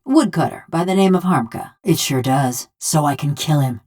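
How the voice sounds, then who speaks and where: Game role: bronze construct with a village woman's soul. Low female voice, folksy rustic drawl, wry and bent on revenge. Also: expressive, normal speed, darkish tone. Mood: cold, resolute. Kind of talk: video game character dialogue.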